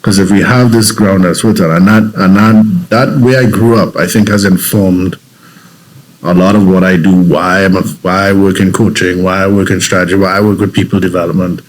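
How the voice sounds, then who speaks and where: A man's voice: low-pitched, steady tone, a little fast, low-quality recording. A man from Trinidad and Tobago with a Trinidadian accent, a guest telling his story in an interview, reflective and earnest with frequent restarts.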